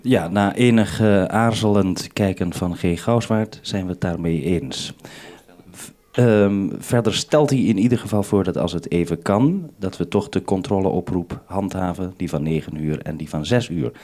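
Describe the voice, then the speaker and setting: A man's voice: sits low, normal speed, mellow. A Dutch man working as a radio programme maker, giving methodical, instructive briefings over a two-way radio link.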